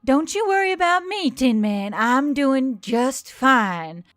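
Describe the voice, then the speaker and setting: A woman's voice: high-pitched, expressive, takes it slowly, fairly bright tone, no room sound, studio quality, faint music behind, voice pushed up, heavily acted. A woman voicing a grumpy, exasperated female biker in an audio story, snarky and defiant. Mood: defiant sarcasm.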